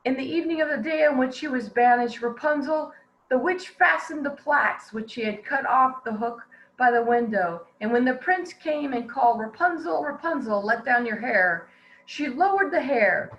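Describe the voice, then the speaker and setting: A woman reading a fairy tale aloud to children in an expressive storytelling style. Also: sits high, normal speed, lo-fi sound. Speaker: volunteer storybook reader.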